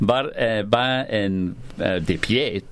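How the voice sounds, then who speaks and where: A middle-aged writer with a Glasgow accent answers questions in careful, halting learner Spanish. He restarts and fills pauses often, in a somewhat low voice. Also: fairly bright tone, a little hiss or hum. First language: English. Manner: halting, many restarts and fillers. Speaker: writer and guest.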